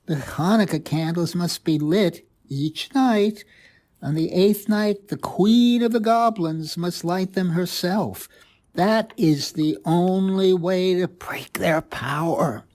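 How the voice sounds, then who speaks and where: A woman playing a sad, cautioning village rabbi in a storybook reading, grave and deliberate. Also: on the low side, a little mellow. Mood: grave warning.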